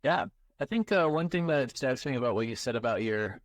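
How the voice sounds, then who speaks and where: A male podcast host responds reflectively to his guest in a relaxed, conversational way. His voice sits at a middle pitch. The sound is dry and close to the mic. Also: fast talker, no echo. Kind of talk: interview conversation.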